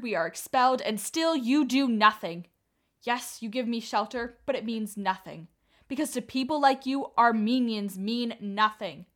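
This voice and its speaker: Actress as a defiant young refugee, higher voice. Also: female voice, sharp tone. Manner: intense, bitter, declamatory. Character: Sanam, defiant, grieving young Armenian refugee woman. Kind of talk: radio play acting.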